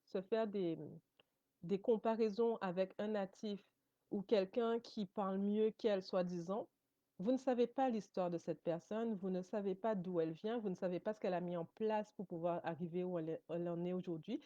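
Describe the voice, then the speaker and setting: A woman whose first language is English, giving a motivational coaching talk straight to her viewers. She encourages them warmly and keeps checking in with a quick "ok?". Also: medium pitch, no echo, muffled recording. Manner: encouraging, addresses viewers directly, often checks in with "ok?".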